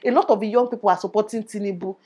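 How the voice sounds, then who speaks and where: A young woman from Nigeria with a Nigerian accent, speaking in a small but loud voice, giving her opinions in a casual vlog with animated delivery. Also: mid-range voice, very fast, husky. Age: young adult. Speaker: YouTuber. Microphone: lavalier.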